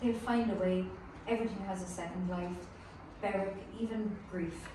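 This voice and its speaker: A woman playing a steady, hopeful rescuer in a screenplay table read, calm and earnest. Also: mid-range voice, moderate pace, close to an everyday voice. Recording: distant, echoey room with clear noise.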